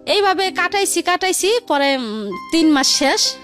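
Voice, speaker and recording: A woman's voice: very high-pitched, big pitch swings, measured pace, fairly bright tone. A woman with a colloquial Dhaka-region Bengali accent telling her life story in a rambling, repetitive way as an interview guest. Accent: colloquial Bangladeshi Bengali, Dhaka region. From Bangladesh. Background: faint background music.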